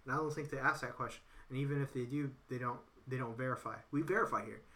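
A man's voice: medium pitch, fast talker, sharp tone. A man in casual political chat, rambling and repeating himself with lots of "you know". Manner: acts out others in quoted voices.

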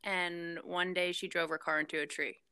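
A woman's voice: lowish voice, level tone, moderate pace, noisy background. A woman guest telling a childhood story in casual chat, laughing often.

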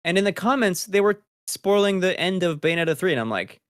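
A man's voice: sits high, expressive, brisk, a bit bright, no echo, studio quality. A man hosting a gaming news podcast, chatting through stories in an informal, slangy, conversational way. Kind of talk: casual news chat among co-hosts.

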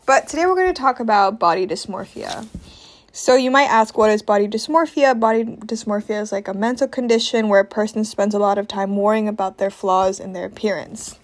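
A woman's voice: mid-range voice, brisk. A young woman from Indonesia talking casually and candidly to listeners as a podcast host, in an informal, confiding way with slang. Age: young adult. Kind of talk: casual personal talk to listeners.

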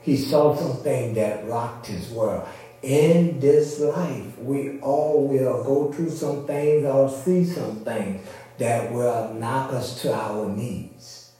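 A man speaking as a pastor, explaining a story to his congregation and pausing to check that they follow. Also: mid-range voice, mellow. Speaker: pastor leading a church service. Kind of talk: Bible teaching with commentary on an audio drama. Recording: very echoey room, distant from the mic.

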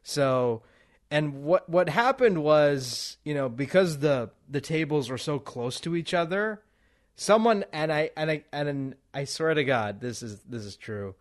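A man's voice: on the high side, expressive, measured pace. A man interviewing a guest in a casual chat, telling stories and laughing as he talks. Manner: storytelling, laughs while talking.

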